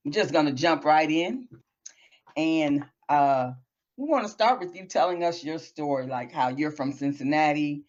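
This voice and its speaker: A woman co-hosting a podcast, bubbly and excited, repeating words for emphasis. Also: sits low, muffled recording. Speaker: podcast co-host. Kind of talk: casual interview talk.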